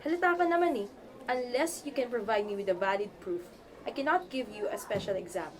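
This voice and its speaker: Woman playing a high-voiced, stern Filipina professor. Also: expressive, fairly quick, noisy background, close to an everyday voice. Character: stern, scolding female college professor. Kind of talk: amateur radio drama acting in Taglish.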